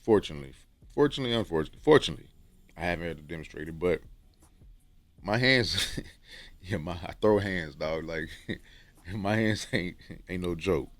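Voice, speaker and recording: Deep-voiced man giving loose, slangy advice. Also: normal speed, long pauses, no echo. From Texas. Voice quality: deep resonant voice. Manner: loose, rambling, slangy advice with frequent "okay?". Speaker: dating and self-improvement vlogger. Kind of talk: casual talk to camera. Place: room with books on shelves.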